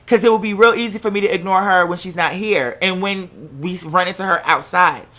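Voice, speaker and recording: A casual storyteller with an American accent and a bright, forceful voice at a middling pitch. The delivery is candid and animated, salted with swearing, like someone recounting personal drama to listeners. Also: brisk. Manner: acts out quoted remarks while telling.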